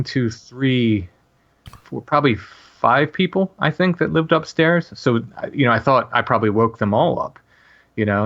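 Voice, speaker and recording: A man in his late forties, a podcast guest, telling a personal story casually in a halting way with many restarts and fillers. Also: lowish voice, a bit bright, lo-fi sound.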